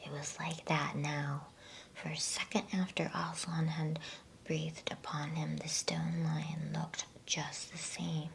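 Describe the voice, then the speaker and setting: A woman whispering breathily and gently in a hushed ASMR style, as a host reading a bedtime storybook. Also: deep voice, flat delivery, creaky, a little hiss or hum, rough recording. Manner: gentle, slow, hushed delivery.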